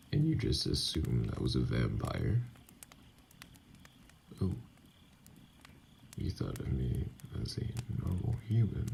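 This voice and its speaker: A man voicing a wounded, weary, distrustful vampire in an ASMR roleplay, speaking hesitantly in formal, guarded wording with a gravelly, sometimes breathy voice. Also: warm tone, a little hiss or hum, heavily acted. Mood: bitter, suspicious, then softened, cautious. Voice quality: very deep, gravelly, breathy at times. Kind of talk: ASMR roleplay audio acting.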